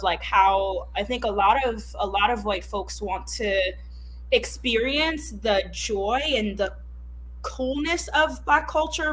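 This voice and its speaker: A woman's voice: medium pitch, a bit bright, slight background noise, poor audio. A woman born in Haiti whose first language is Creole, talking through her views in an emphatic, thoughtful way in a casual discussion. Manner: thoughtful, emphatic, self-correcting.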